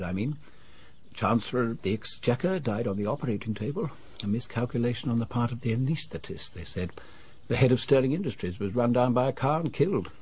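An actor playing an unassuming but knowing retired English civil servant, older, genteel and confidential, in a radio drama. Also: male voice, medium pitch, darkish tone, slightly rough.

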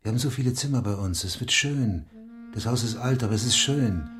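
An actor playing a middle-aged police inspector in a radio drama, terse, earnest and brooding. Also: male voice, medium pitch, brisk, fairly bright tone, soft background music, lightly acted. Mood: pleading. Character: brooding male police inspector, lovelorn, dutiful. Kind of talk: German radio drama acting with first-person narration.

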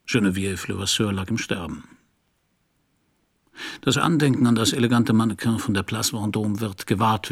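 An actor playing a broke, world-weary private detective, narrating and speaking in a subdued, melancholy, gravelly voice in a noir radio drama. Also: male voice, fairly low pitch. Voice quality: gravelly, husky. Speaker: narrating protagonist.

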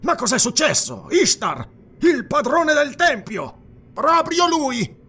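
A man voicing a jittery, blustering night watchman in a fantasy audiobook, with a strained, raspy, nervous voice. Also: very high-pitched, slow pace, voice pushed up, exaggerated voice. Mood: excited relief. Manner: nervous, excitable exclamations. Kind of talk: dramatized fantasy audiobook dialogue.

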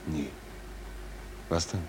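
A man's voice: very slow, a little mellow. An actor playing a laconic, wry merchant seaman in a 1940s German radio play, terse and plain-spoken with a sardonic edge. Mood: curious. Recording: noisy 1940s radio drama recording.

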